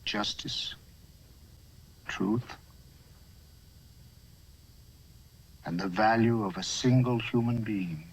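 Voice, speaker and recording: A man playing a grave, principled judge in a dramatic film speech, measured and oratorical. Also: fairly low pitch, breathy edge, heavily acted, poor audio. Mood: solemn. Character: grave, principled judge delivering a solemn verdict.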